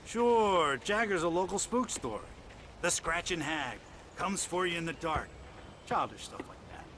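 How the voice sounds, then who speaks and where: A man's voice: a little high, lively intonation, measured pace, a bit bright, slight background noise. A man voicing a plain-spoken, God-fearing trailer park manager in a video game, folksy and colloquial, with an American accent. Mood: folksy, wary. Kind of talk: dramatic video game acting.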